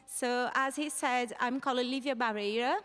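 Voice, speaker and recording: A woman's voice: on the high side, moderate pace, a bit bright. A woman from Brazil whose first language is Portuguese, with a Brazilian accent, in her thirties, telling her story live to an audience in a chatty way. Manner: chatty, laughs between lines. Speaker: guest speaker. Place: live venue stage with audience. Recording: long hall reverb.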